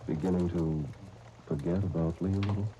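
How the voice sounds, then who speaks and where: A man's voice: deep voice, fairly even intonation, fairly quick, mellow, lightly acted. An actor playing a genial, self-mocking young businessman with playful, mock-grand phrasing in a 1930s radio drama. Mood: friendly, discreet. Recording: dull, noisy 1939 radio broadcast.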